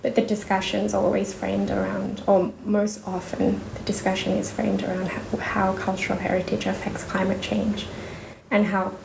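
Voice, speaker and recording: A woman's voice: medium pitch, little pitch movement, moderate pace, gravelly. A woman from Indonesia, a researcher guest in a casual interview, speaking thoughtfully with many fillers and restarts. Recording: echoey room with noise.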